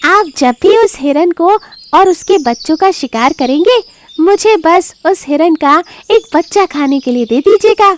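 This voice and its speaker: A woman voicing a sly, flattering fox in an animated moral story, with a wheedling sing-song delivery. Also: very high-pitched, measured pace, a little hiss or hum, voice pushed up. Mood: scheming. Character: sly, flattering fox advisor to a lion king.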